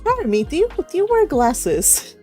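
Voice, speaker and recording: A woman's voice: high-pitched, wildly swooping pitch, moderate pace, mellow, faint music behind. A woman hosting an online read-along, chatting casually and giggly, with rambling anecdotes. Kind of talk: casual group call chat. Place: online voice call.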